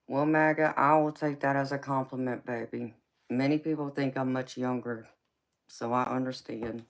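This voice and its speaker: A woman in her forties talks with her live-stream audience in a relaxed, conversational way. Her delivery stays level and even, with little rise or fall. She fills her talk with endearments like honey and sweetheart. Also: a bass voice, unhurried, long pauses, rough recording. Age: 40s. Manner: affectionate, calls viewers baby, honey and sweetheart. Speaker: tarot reader and livestream host. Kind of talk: casual live-stream chat with viewers.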